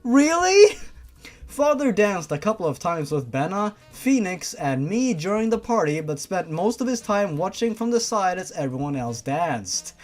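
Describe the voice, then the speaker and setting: A host reads fan fiction with a lively, swinging delivery. The narration keeps breaking into exasperated, mocking commentary with plenty of swearing. Music plays behind the voice. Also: mid-range voice, measured pace. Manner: sarcastic, exasperated, sweary asides. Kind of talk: story reading with mocking commentary.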